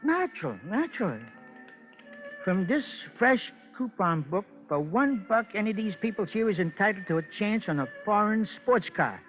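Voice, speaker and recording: High-voiced stammering hustler. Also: male voice, expressive, normal speed. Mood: evasive, pleading. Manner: stammering, hesitant, mock-genteel. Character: nervous, wheedling small-time raffle hustler, male. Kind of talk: old-time radio crime drama acting. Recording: muffled old radio recording, music behind.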